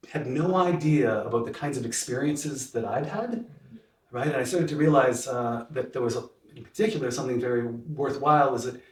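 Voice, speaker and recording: Hesitant Canadian man, panel guest, mid pitch, miked from a distance. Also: darkish tone. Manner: hesitant, many fillers and restarts. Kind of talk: panel discussion. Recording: roomy, distant mic.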